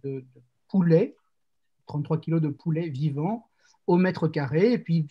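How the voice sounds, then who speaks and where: A man's voice: a little high, warm tone, dull audio. A man, a novelist and essayist, speaking as a guest on a discussion panel, explaining in detail and restarting his sentences as he thinks.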